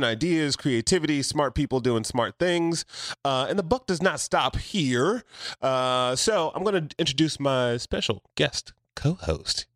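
A man's voice: on the high side, fairly bright tone, no room sound. Jokey male podcast host, dry mic. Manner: jokey, makes silly sound effects, teasing. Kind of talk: playful casual interview chat.

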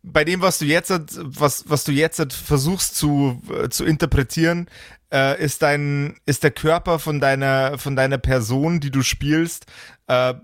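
A man with a fairly high voice speaks casually as a game master. The sound is very dry and close, with no room around it. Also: a bit bright, no echo. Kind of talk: casual group chat.

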